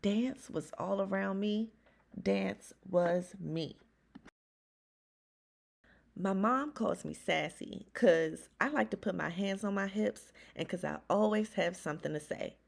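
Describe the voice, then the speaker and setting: A woman reading a children's storybook aloud as first-person narration, expressively, with dramatic pauses and emphasis. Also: medium pitch, creaky. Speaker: children's read-aloud host.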